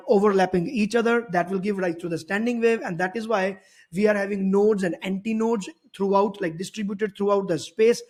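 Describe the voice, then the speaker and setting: A man's voice: high voice, a little mellow. A man teaching physics, explaining a worked problem step by step and often repeating and rephrasing his points.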